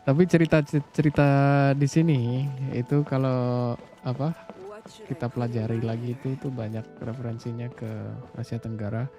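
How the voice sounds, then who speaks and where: A man's voice: mid-range voice, steady tone, a little fast, music in the background. An Indonesian man giving casual live-stream commentary on a game, with an Indonesian accent. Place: indoor live-stream setup, game audio behind.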